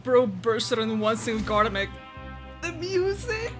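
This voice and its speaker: Girl streamer gushing over a game, highish voice, very slangy, clear music behind her. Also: very animated, unhurried. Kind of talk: casual excited game commentary.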